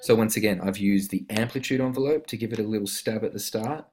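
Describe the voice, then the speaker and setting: A man with a fairly low voice narrates a software tutorial in an informal, step-by-step way. He explains like an instructor at his computer, with synth instrument sounds clearly heard along with his voice. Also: quick pace, over loud music. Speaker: instructor of a music production tutorial. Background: synth instrument notes played during the demonstration.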